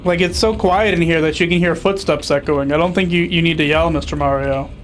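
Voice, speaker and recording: A man's voice: a little high, steady tone, fairly quick, a little hiss or hum. A young man casually commenting on a video game as he plays, chatty and sarcastic. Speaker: streamer playing a video game.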